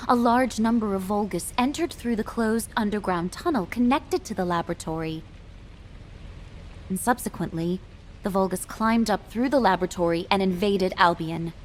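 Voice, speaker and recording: An actress playing a composed military officer who speaks formally and with measure, as in a video game briefing. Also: female voice, mid-range voice, slight background noise, natural voice.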